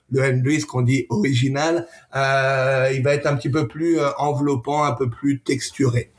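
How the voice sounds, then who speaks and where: A man presenting a product conversationally as an interview guest, pausing often and repeating words as he goes. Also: a little high. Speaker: gin brand representative, interview guest.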